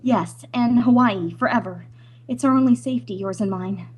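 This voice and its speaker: A woman plays a young heroine of a radio melodrama, torn and anguished. Her voice is high and husky, and she pleads in breathless phrases. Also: normal speed, a little mellow. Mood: urgent pleading. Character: anguished young half-Hawaiian daughter, torn by love. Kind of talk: old-time radio melodrama acting.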